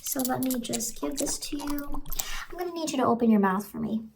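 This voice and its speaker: A sinister clown lady acted by a woman speaks in a high, soft voice, luring and falsely reassuring the listener. It is horror ASMR roleplay, recorded close to the mic with clear noise behind the voice. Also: expressive, brisk. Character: sinister, coaxing female ice cream truck clown. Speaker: ASMR performer. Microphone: close ASMR microphone.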